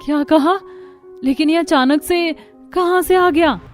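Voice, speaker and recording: A woman's voice: high voice, measured pace, faint music behind, voice pushed up. A woman voicing a scheming, put-upon young daughter-in-law in a cartoon story, complaining dramatically. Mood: feigned worry.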